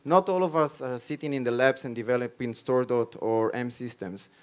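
A man's voice: medium pitch, brisk, smooth. Emphatic Israeli man presenting on stage. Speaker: conference speaker on startups and innovation. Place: stage at a tech conference. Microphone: handheld microphone.

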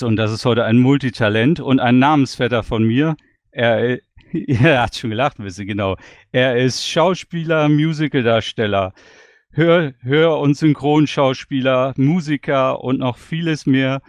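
A man's voice: mid-range voice, normal speed, dry recording. Man hosting, dry close sound. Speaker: podcast host and interviewer. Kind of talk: friendly podcast moderation.

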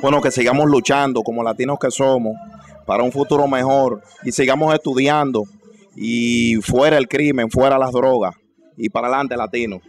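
A man's voice: mid-range voice, fairly even intonation, moderate pace, faint music behind. A man, a singer speaking casually in an interview on a handheld microphone. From Dominican Republic. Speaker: salsa singer being interviewed.

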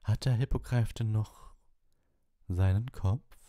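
A man voicing a dreamy, airy older female divination teacher in an audiobook, with a gentle, cooing, coaxing manner. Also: fairly low pitch, slow, dry recording, studio quality, pitched above the performer's own voice. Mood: eager, probing.

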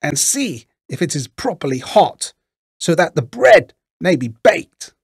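A man's voice: a little high, sing-song, unhurried, gravelly, slightly rough, dry recording, studio quality, pitched above the performer's own voice, full character voice. A man voicing a wicked old witch from a storybook, cruel, bossy and scolding. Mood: sly command. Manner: scolding, commanding. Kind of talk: storybook character voice.

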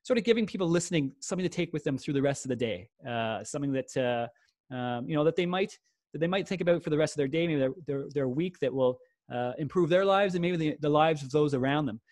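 A man's voice: fairly high pitch, animated, darkish tone, no room sound, studio quality. A man in his forties hosting a podcast interview over a video call, talking eagerly with many fillers. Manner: fast, filler-heavy, eager. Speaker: podcast host. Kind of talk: friendly interview.

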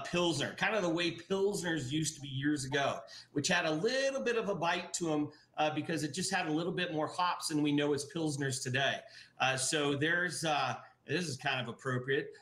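A man's voice: on the high side, fairly bright tone. Chatty middle-aged man on a roomy webcam. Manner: enthusiastic, many fillers and restarts. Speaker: brewery guest. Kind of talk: casual interview over video call. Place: indoor office room. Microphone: video call webcam.

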